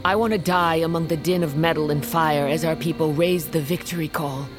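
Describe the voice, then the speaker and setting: A woman's voice: low-pitched, fairly even intonation, fairly quick, natural voice. An actress playing an aging, dying warrior queen, blunt and regretful, in a fantasy audio drama. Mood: defiant. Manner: weighty, regretful, rough-tongued. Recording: music underneath.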